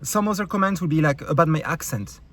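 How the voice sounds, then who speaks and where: A man's voice: a little high, fast talker, fairly bright tone. A man with a French accent, a YouTube creator ranting angrily and bluntly to his viewers, full of swearing, fillers and restarts. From France. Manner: blunt, profane, heated, many fillers and restarts. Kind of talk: angry rant to camera.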